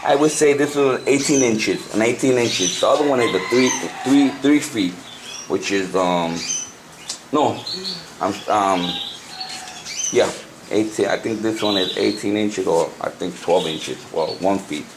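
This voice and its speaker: Puerto Rican man demonstrating, clearly noisy recording. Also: on the low side. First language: Spanish. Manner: halting, repetitive, self-correcting. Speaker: amateur radio hobbyist giving a tutorial. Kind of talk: casual hands-on demonstration. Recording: noisy amateur camcorder sound.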